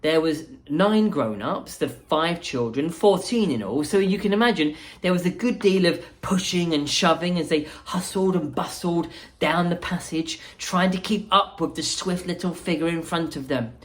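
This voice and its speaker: A woman reading a children's story aloud as a school principal, expressively and with deliberate dramatic emphasis. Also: lowish voice.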